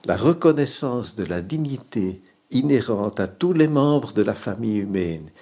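A man's voice: fairly low pitch, expressive, moderate pace, mellow. A man reading a formal text aloud.

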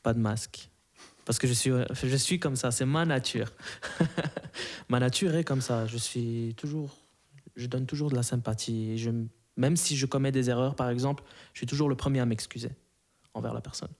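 A man's voice: medium pitch, steady tone, normal speed, darkish tone. A man in his early twenties from Belgium, a native Arabic speaker, answering interview questions casually and reflectively, often searching for words. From moved to Belgium at five, grew up there. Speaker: interview guest.

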